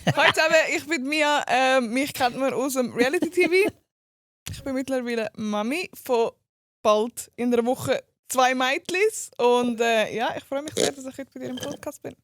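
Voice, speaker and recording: A woman's voice: sits high, no room sound, studio quality. A woman with a Swiss German accent, a guest in a casual podcast interview, talking candidly and without filter. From Switzerland. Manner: candid, quick and unfiltered.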